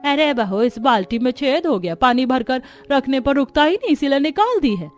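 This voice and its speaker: A woman's voice: sits high, expressive, normal speed, soft background music, pitched above the performer's own voice. A woman voicing a kindly, generous older neighbour aunt in a village cartoon. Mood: casual, helpful.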